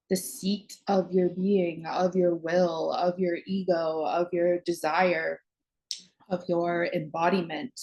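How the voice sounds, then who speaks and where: Slow, low-voiced woman guiding meditation. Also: darkish tone, low-quality recording. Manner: soothing, unhurried phrasing with long pauses. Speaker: meditation guide and astrologer.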